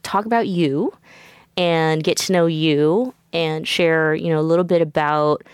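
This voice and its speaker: Low-voiced woman talk show host, smooth. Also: fairly even intonation, a little slow, no room sound, clean studio sound. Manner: chatty, with many restarts and fillers. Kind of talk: casual interview.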